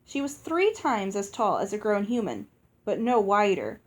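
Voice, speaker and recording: A woman reading a storybook aloud as narrator, in an expressive scripted style. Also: medium pitch, normal speed. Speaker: audiobook reader.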